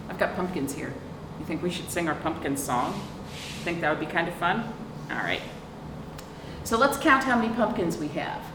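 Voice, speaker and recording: A woman hosting a children's storytime, reading aloud expressively and prompting young listeners with simple questions. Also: lowish voice. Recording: roomy, distant mic, clearly noisy.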